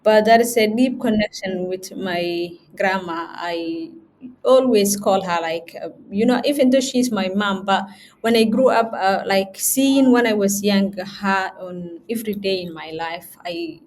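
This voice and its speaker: A young woman, a guest in an interview, talking in a halting way with many fillers and restarts. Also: medium pitch, darkish tone, a little hiss or hum. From Somali region. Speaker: guest, chief editor. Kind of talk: interview conversation.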